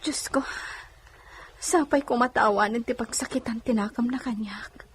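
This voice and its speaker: Lady doing a devoted soft-spoken wife, radio melodrama, highish tender voice, slight noise. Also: moderate pace, lightly acted. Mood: tender, anguished. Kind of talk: Ilocano radio drama acting.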